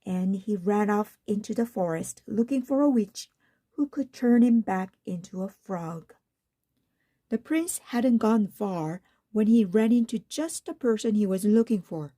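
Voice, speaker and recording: A woman's voice: medium pitch, a little slow, dark tone, no echo. A woman reading a children's picture book aloud as a storyteller.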